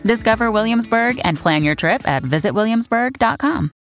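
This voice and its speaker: A woman's voice: a little high, lively intonation, moderate pace, soft background music. A woman reading scripted voice-over for a tourism commercial.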